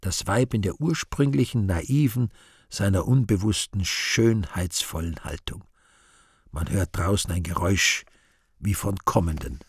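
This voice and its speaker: Husky man reading stage directions. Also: sits low, unhurried, dry recording, studio quality. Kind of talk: scripted radio play narration.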